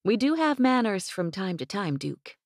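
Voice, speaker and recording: A woman's voice: mid-range voice, very animated, normal speed, no echo, studio quality, voice pushed up. A woman voicing a spirited young ranch woman with a teasing, bossy drawl, read in an audiobook. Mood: playful.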